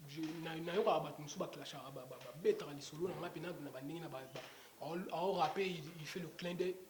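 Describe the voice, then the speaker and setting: A man's voice: fairly high pitch, a little fast, crowd noise. A young Congolese man, a rapper, talking casually in an interview, rambling with lots of fillers and restarts. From Democratic Republic of the Congo. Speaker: rapper guest. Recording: echoey room with clear background noise.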